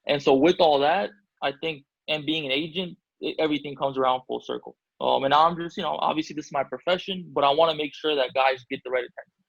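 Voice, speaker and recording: A man's voice: fairly high pitch, fairly even intonation, quick pace, vocal fry, dry recording, low-quality recording. A man from Albania, a basketball agent, answering interview questions casually with many fillers and restarts.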